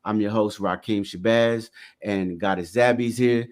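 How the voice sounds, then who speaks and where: Low-voiced, laid-back male podcast host. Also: fairly even intonation, a little slow, darkish tone. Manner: laid-back, affirming with "no doubt". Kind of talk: casual interview hosting.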